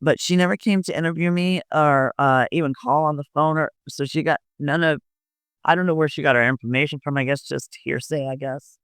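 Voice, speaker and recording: A woman's voice: sits low, steady tone, fairly quick, dry recording, clean studio sound. A woman in her fifties giving personal recollections as an interviewee, hesitant and often repeating her words.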